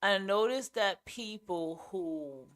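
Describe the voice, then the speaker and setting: A woman podcaster with a raspy voice that carries and a clear New York accent. She talks off the cuff in a casual monologue, blunt and opinionated, leaning hard on her points and swearing freely. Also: medium pitch, animated, slow, fairly bright tone. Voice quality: raspy, carrying voice. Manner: blunt, emphatic, profane. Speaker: podcast host.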